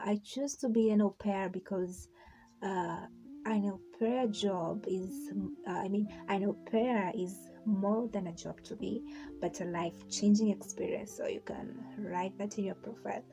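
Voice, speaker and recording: A young Kenyan woman talks through her vlog in a relaxed, chatty way at an ordinary middle pitch. She pauses often, fills the gaps with ums and uhs and restarts her sentences. Background music plays clearly underneath her voice. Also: poor audio. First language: Swahili. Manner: chatty, hesitant, many fillers and restarts. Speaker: vlogger. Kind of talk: casual how-to vlog.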